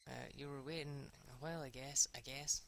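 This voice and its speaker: A man voicing an affable, rambling older male photographer from a visual novel, smooth-talking and quick to apologize. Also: medium pitch, fairly even intonation, a bit bright, a little hiss or hum. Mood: apologetic, teasing.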